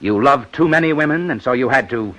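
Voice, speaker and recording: An actor playing a sharp, methodical police inspector in 1950s radio detective style, commanding and accusatory. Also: male voice, medium pitch, expressive, brisk, close to an everyday voice, poor audio. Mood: accusing. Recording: old-time radio broadcast recording.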